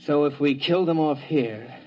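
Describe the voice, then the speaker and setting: A man playing a brisk, stern, penny-pinching expedition executive in a 1950s radio drama. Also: on the high side, darkish tone, lightly acted. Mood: brisk, impatient. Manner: brisk, authoritative. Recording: dull, band-limited old radio recording.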